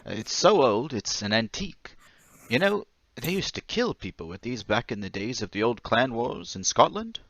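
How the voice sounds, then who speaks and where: An actor playing a timid, eccentric war-veteran lodger in an old-time radio drama, hesitant and stammering with nervous manners. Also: male voice, mid-range voice, sing-song, moderate pace, fairly bright tone, no room sound. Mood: odd, excitable.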